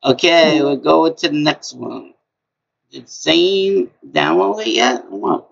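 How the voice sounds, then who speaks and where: A middle-aged man with a high voice hosts a trivia stream from his home, drawling slowly with lots of gravelly fry. He repeats himself in a chatty way. The webcam audio sounds dull and lo-fi. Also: lively intonation, a bit bright. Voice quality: high-pitched voice. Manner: slow, repetitive, chatty. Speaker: trivia game host. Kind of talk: live-stream trivia hosting. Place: small home room, indoors.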